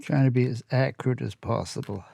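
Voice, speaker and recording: A man's voice: mid-range voice, steady tone, brisk, vocal fry, warm tone, no room sound. A man with a Canadian accent casually explaining hands-on workshop steps as he works, plain-spoken and matter-of-fact. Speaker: host of a workshop how-to channel.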